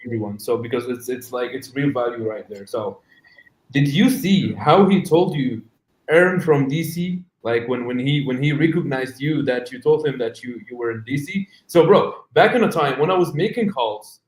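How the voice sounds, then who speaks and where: A man's voice: mid-range voice, moderate pace. A man talking casually with a friend and the audience, saying "bro". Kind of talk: casual chat. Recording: roomy echo.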